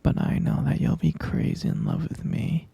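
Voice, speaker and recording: A man playing a possessive, lovesick kidnapper in an intimate ASMR roleplay, coaxing softly in a breathy half-whisper and repeating himself. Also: normal speed, no echo, natural voice. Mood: defensive, lovesick. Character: obsessive lovesick male kidnapper, soothing and possessive yandere.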